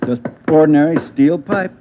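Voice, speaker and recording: A man's voice: fairly high pitch, normal speed, noisy background, lightly acted. A man playing a dry, laconic police lab technician in 1950s radio drama dialogue. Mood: matter-of-fact. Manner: wry, laconic. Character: dry, matter-of-fact male police crime lab technician. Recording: muffled old radio recording.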